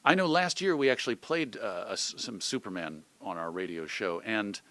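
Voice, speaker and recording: Man, middle-aged, bright voice, dry sound, reflective interview talk, self-deprecating, halting. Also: lowish voice, lively intonation, no room sound. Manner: wry, self-deprecating humor. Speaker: radio show host being interviewed.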